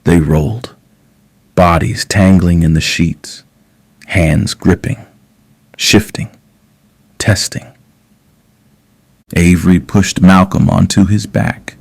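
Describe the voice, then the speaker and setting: A man narrating scripted romance fiction in a measured, deliberate way with dramatic pauses. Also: low-pitched, steady tone, creaky. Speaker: audio story narrator.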